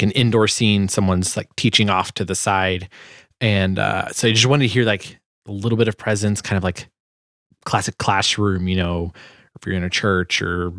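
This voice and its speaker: A man with a fairly low voice and plenty of vocal fry talks through his work as a sound designer. His delivery is casual and explanatory, like an informal tutorial. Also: a bit bright, no room sound, studio quality.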